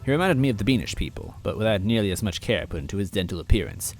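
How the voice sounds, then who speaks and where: A man reading a first-person story aloud in expressive, scripted narration. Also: fairly low pitch, racing, faint music behind. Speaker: story reader.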